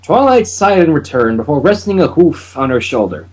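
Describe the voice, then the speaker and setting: A man reading fan fiction aloud in an expressive, giggly way, with character voices and asides. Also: mid-range voice, moderate pace, slightly rough. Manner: expressive, giggly, stumbles and breaks off.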